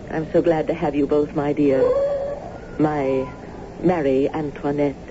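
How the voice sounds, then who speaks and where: A woman's voice: sits low, steady tone, unhurried. An actress playing a genteel, eccentric lady landowner in a radio drama, speaking with effusive, old-fashioned formality. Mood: gracious, effusive. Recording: dull, noisy old radio broadcast.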